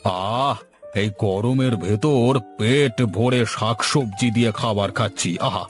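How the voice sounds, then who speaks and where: A man's voice: mid-range voice, slow pace, faint music behind, natural voice. A man voicing a greedy, pompous middle-aged village landlord in a cartoon. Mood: pleased. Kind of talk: cartoon voice acting.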